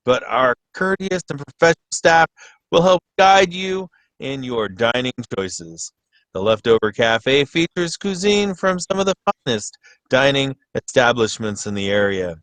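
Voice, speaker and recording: A man's voice: dry recording, low-quality recording. A man as a polished, dry-recorded radio announcer. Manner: polished, deadpan sales pitch. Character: smooth-selling announcer of a parody cafe ad. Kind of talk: scripted radio commercial parody.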